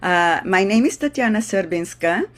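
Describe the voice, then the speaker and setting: An older woman from Bulgaria with a Bulgarian accent, a vocal ensemble director, talking haltingly in an interview and often pausing to search for words. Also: medium pitch, expressive. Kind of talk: casual interview talk.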